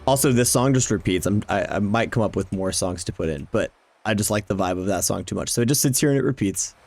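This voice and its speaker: A man with a lowish voice hosts a gameplay vlog in an informal, enthusiastic way. He talks casually as he shows things off, with music behind him. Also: lively intonation, quick pace, vocal fry, a little mellow. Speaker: video game vlogger, host. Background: game background music.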